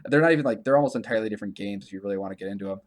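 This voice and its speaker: Male gaming podcast host, slightly low-pitched, loose conversational delivery with frequent stammers and repeats. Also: rapid-fire. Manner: rambling, stammering, repeats himself. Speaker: video game podcast host. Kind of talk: casual chat about gaming news.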